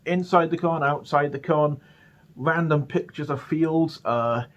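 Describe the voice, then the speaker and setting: A man's voice: a little high, a little slow, highs cut off, slight background noise. A British man telling a personal story casually, often stammering and repeating his words as he goes. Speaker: storyteller, channel host.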